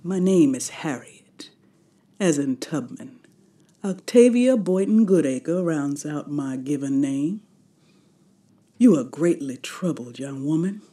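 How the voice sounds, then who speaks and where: Woman playing elderly female priestess, mellow dark tone, serene, formal, incantatory, audio drama. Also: low voice, expressive, slow pace. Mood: grave, kindly. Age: 90s. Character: serene ninety-something woman, healer-priestess leading solemn prayer.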